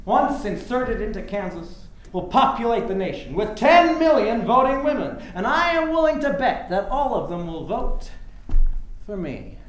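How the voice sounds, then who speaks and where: A man's voice: sits high, sing-song, moderate pace. An actor playing a grandiose, self-assured, formal male orator in a staged play reading. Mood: boastful. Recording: echoey theater, faint noise.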